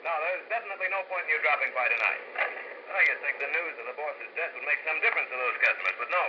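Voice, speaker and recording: A man's voice: on the high side, fast, natural voice. An actor playing a harried, flustered printer in a 1940s radio drama, rambling as he talks. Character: harried, flustered male print shop partner. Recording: muffled, noisy old radio recording.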